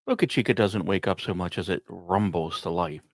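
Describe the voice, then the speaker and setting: A man's voice: low voice, a little fast, no room sound, clean studio sound. Male podcast host narrating in storytelling style. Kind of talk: scripted narration with asides.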